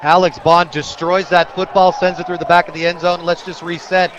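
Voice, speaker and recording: Man, American accent, high-pitched, live football play-by-play, excitable, partisan radio announcer. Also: fairly quick, highs cut off, crowd noise, noisy background. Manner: excitable on big plays, partisan for the home team.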